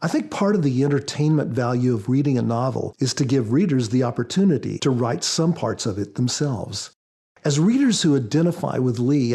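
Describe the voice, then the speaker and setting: A man speaking as an author on a podcast, explaining things in a measured, reflective way. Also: mid-range voice, gravelly, warm tone, husky. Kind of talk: measured explanatory talk with scripture readings.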